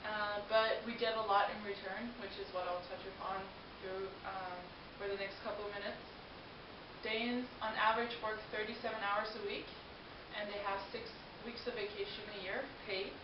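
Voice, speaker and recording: A woman's voice: medium pitch, little pitch movement, moderate pace. A young adult woman from Denmark, a native Danish speaker, giving a prepared informative presentation to an audience. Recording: distant mic, roomy echo, muffled, hissy noise.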